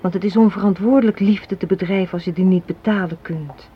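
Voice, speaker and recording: A woman's voice: on the low side, fairly even intonation, a little fast, close to an everyday voice. An actress playing a hard-minded, cynical young woman in a Dutch radio play, arguing and lecturing with rhetorical questions. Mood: bitter, insistent. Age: young adult. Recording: dull, noisy old radio recording.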